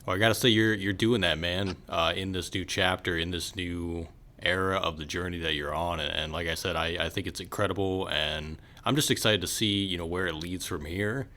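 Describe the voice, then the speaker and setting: Very deep, bright-edged American male host. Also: steady tone, brisk, hardly any pauses. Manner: encouraging, affirming. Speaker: podcast host. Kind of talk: casual interview chat.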